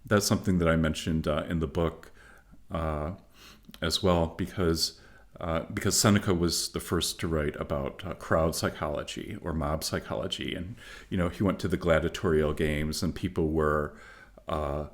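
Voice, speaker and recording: Man, very low voice, middle-aged, reflective, pauses often, podcast guest. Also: fairly even intonation. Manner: thoughtful, measured, many pauses and fillers. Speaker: guest, philosopher and author. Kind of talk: podcast interview.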